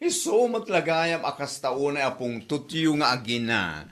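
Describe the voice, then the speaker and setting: Guy playing a mocking gossip in a radio comedy, highish voice all over the place, super theatrical. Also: big pitch swings, a little slow, fairly bright tone. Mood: taunting. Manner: theatrical, teasing, exclaiming. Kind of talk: Ilocano radio comedy drama acting.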